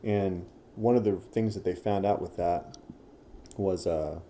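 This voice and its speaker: A man's voice: low-pitched, measured pace, mellow, a little hiss or hum. A man co-hosting a podcast, talking in an easygoing, rambling way with casual swearing. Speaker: podcast co-host. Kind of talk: casual chat.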